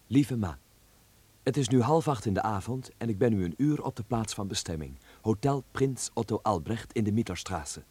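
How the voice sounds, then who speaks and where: An actor playing a wide-eyed twenty-one-year-old man, reading his letter home in an expressive, wry and affectionate way for a radio drama. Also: lowish voice, normal speed, husky, dry recording. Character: excited, wide-eyed young Dutch son abroad, twenty-one.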